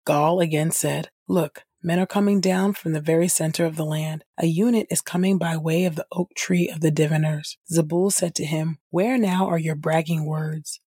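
A woman reading scripture aloud for an audio Bible podcast in a measured, formal manner. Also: sits low, a little mellow, husky, dry recording, studio quality. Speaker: scripture reader and podcast host.

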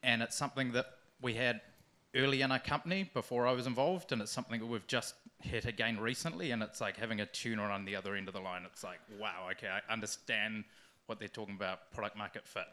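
A man asking a question from the audience, explaining his situation in a measured way. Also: fairly low pitch, sharp tone. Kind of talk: live question to a speaker.

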